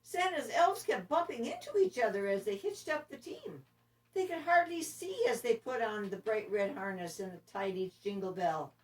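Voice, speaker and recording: A woman narrating a children's story expressively, with deliberate, dramatic phrasing. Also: on the high side, very animated. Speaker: storybook reader. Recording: distant microphone.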